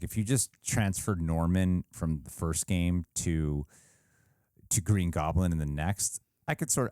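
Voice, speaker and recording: A man with a very low, dark and relaxed voice speaks as a reaction show co-host. He chats casually and reflectively in a review style, recorded dry with almost no room sound. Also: smooth, no echo.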